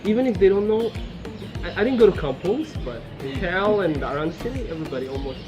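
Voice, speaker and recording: A man's voice: sits high, animated, fast. Upbeat Somali man over music. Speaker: interviewee. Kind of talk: unscripted interview answers.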